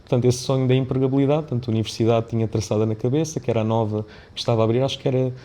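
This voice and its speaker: A man with a European Portuguese accent talks in a relaxed, mellow voice at a medium pitch. He is a podcast guest, thinking aloud in a reflective, winding way and often adding small tag questions to check he is followed. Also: rapid-fire. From born in Mozambique, of Indian family background. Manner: discursive, often checks with "não é?". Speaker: podcast guest, business founder and CEO. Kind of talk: reflective conversational interview.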